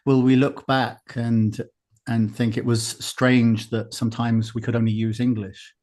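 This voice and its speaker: A man's voice: medium pitch, flat delivery, measured pace, a little mellow. A man hosting a podcast, asking interview questions with some hesitation and fillers. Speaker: podcast host.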